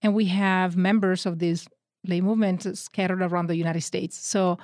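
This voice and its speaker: A woman from northern Italy with an Italian accent, a religious sister talking as a radio guest, relaxed with fillers and light jokes. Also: on the low side, normal speed, no room sound, clean studio sound. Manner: warm joking, fillers like um and uh. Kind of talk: radio interview. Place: radio studio.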